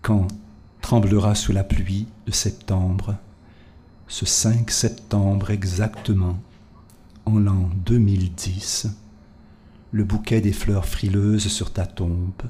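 A man giving a slow, solemn reading of his own poetry, sometimes dropping to a hush. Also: low voice, slight background noise. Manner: measured, solemn, hushed in places. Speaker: poet reading his work.

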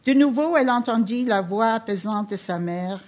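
A woman's voice: a little high, normal speed. Female non-native French speaker, author, reads her prose aloud evenly with occasional restarts. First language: not French. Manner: steady literary reading with small restarts. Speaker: author reading her work. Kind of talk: reading a novel excerpt aloud.

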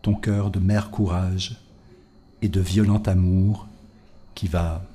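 A man reads his poetry aloud in a slow, unhurried cadence. His voice sits low and mellow, and the delivery stays measured and solemn, sinking to near whispers at times. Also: level tone. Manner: measured, solemn, hushed in places. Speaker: poet reading his work.

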